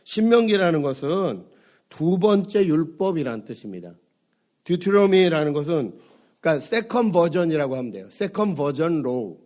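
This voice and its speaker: A middle-aged man, hoarse from a cold, preaching a Sunday sermon as a pastor, conversational and full of fillers, asking his congregation questions. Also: a little high, a little mellow. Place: church sanctuary.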